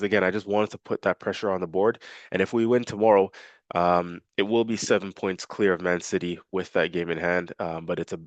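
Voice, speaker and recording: A man's voice: low-pitched, dry recording. A man with a nasal, congested voice from a cold, casually previewing a football match for his fan channel with lots of fillers. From Canada. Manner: casual, filler-heavy, repeats himself.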